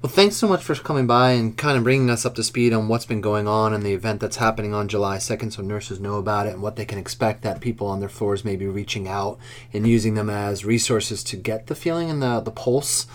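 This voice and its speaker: A man's voice: lowish voice, brisk. Conversational man co-hosting a podcast. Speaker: podcast co-host.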